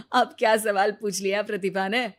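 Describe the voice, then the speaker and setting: A woman's voice: medium pitch, natural voice. A woman voicing an amused, easygoing young man, the son in a family story, joking and reassuring. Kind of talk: animated Hindi moral story voice acting.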